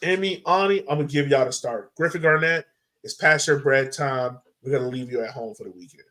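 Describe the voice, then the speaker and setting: A man's voice: medium pitch, brisk, fairly bright tone. A man hosting a casual soccer discussion, speaking informally and conversationally and often posing questions. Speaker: podcast host.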